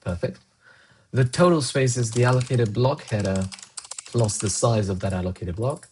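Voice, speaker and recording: A man's voice: mid-range voice, normal speed, a little mellow, slight background noise. Man thinking aloud while coding. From Colombia. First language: Spanish. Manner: muses aloud, answers chat between tasks. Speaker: programmer streaming live coding.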